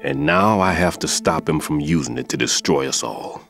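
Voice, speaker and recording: A patient veteran bomb disposal expert, talks in a deep, low voice that rises and falls expressively. He explains things in a measured, teacherly way, with a clear music score playing underneath. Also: huge pitch swings, a bit bright, heavily acted. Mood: calm instructive. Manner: instructive, reflective. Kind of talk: video game cutscene dialogue.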